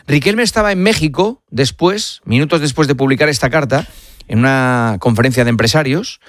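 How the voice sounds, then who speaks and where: A man with an accent from Spain hosting a live sports radio show, speaking deliberately with hesitant pauses into a studio microphone. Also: medium pitch, no room sound. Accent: Spanish from Spain. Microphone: studio broadcast microphone.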